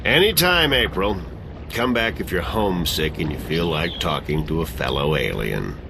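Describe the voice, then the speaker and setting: A man's voice: deep voice, big pitch swings, moderate pace, creaky, noisy background, heavily acted. An actor playing a sardonic middle-aged exile who laughs at his own jokes and loves whiskey.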